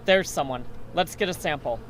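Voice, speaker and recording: A man's voice: on the high side, normal speed, noisy background, lightly acted. A man acting as a practical male survivor in a horror audio drama. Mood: tense. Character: male survivor scavenging amid a bedbug plague, practical.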